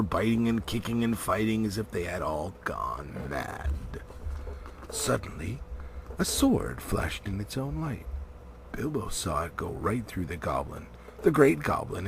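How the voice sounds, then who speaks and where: Male storybook reader, deep-leaning and creaky, dramatic and emphatic, some background noise. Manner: theatrical, emphatic, with varying volume. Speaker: amateur audiobook reader.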